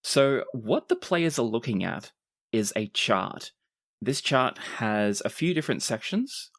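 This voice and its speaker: A man's voice: mid-range voice, big pitch swings, unhurried. A man narrating a tabletop role-playing game as game master.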